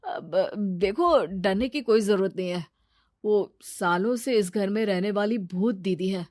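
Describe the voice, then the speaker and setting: A woman voicing a calm young man who reasons persuasively and reassures his friends in a cartoon story. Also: medium pitch, measured pace, dry recording, studio quality, natural voice. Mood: reassuring. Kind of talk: animated cartoon story acting.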